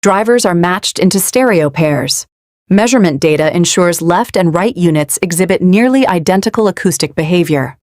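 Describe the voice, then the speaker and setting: A woman's voice: lowish voice, lively intonation, moderate pace, a little mellow, dry recording. A woman delivering scripted technical narration in short, declarative statements. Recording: clear background noise.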